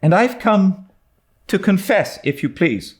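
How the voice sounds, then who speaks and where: Man as an earnest high-voiced young girl. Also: very animated, takes it slowly, heavily acted. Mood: earnest confession. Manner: pleading, resolute, tremulous. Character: earnest, imploring, dramatic young orphan girl. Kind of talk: dramatised audiobook reading of a classic novel.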